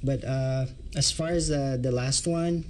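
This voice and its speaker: A man's voice: mid-range voice, steady tone, measured pace, slight background noise. A man, a guest in an interview, answering with many restarts and fillers. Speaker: guest, theater group member.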